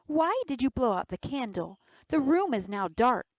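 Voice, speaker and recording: Woman playing a high-voiced clever little girl, wary. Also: very animated, moderate pace, a little mellow, no echo, lo-fi sound. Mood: suspicious. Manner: questioning, politely crafty.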